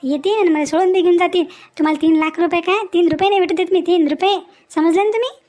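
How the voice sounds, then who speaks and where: Woman acting a feisty village woman, high voice, rapid scolding, cartoon comedy. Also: a little mellow. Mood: defiant. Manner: scolding, defiant, talkative. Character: feisty sharp-tongued kidnapped village woman.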